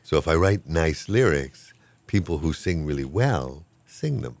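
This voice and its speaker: An older man with an extremely deep, low voice talks in a relaxed, rambling way. He is good-humored and self-deprecating, a professor chatting through an interview. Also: a little slow, creaky. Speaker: professor of Hawaiian language. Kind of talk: casual interview talk.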